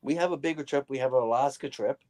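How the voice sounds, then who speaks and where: A man's voice: mid-range voice, fast, a little mellow. A man chatting casually on a livestream, often stammering and repeating words as he gets going. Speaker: livestream co-host. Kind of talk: casual chat.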